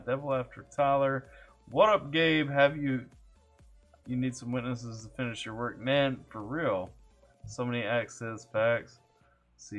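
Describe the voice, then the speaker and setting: A man's voice: mid-range voice, fairly bright tone. A man from Kentucky with a Southern accent, chatting casually on a live stream, laid-back and jokey, greeting viewers by name. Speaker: music reaction streamer. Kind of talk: casual live-stream chat, reading chat aloud. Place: home computer setup.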